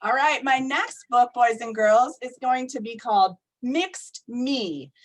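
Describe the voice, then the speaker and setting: A woman reading picture books aloud to young children, expressive and deliberate, often putting questions to her listeners. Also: a little high, poor audio. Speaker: storytime reader for young children. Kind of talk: animated picture-book read-aloud to children.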